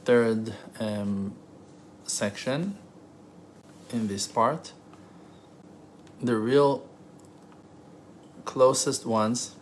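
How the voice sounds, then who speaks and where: Earnest Israeli-accented rabbi, Hebrew native, teaching in English with halting, self-correcting delivery and mid-range pitch; faint noise behind. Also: male voice. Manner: earnest, emphatic, rhetorical questions; halting, pauses and self-corrections while translating. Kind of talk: spontaneous religious teaching.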